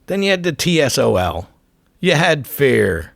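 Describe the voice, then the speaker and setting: A man's voice: medium pitch, sing-song, a little slow, creaky, fairly bright tone, dry recording, studio quality. A man narrating a scripted music documentary in short punchy phrases, with an irreverent, profane edge.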